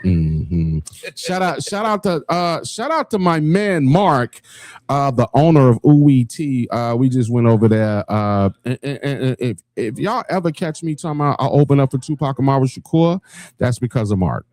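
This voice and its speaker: Man, Chicago accent, radio host, hype casual chat, mid pitch, dry studio sound. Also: very animated, moderate pace, darkish tone, no room sound. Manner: hype, repeats "yo" and "you know what I'm saying".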